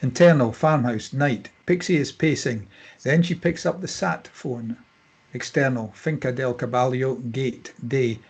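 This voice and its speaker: Husky male screenplay narrator. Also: mid-range voice, unhurried, darkish tone. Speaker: stage-direction narrator. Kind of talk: scripted screenplay narration at a table read.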